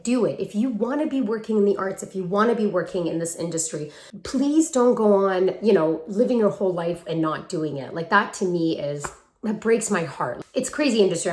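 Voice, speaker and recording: A woman's voice: medium pitch, darkish tone. A woman in her twenties giving casual talking-head advice to viewers in a chatty, emphatic, affectionate way, recorded on a handheld phone. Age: 20s. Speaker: vlogger and acting coach. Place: room at parents' home, brick wall. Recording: roomy echo from a hard-walled room.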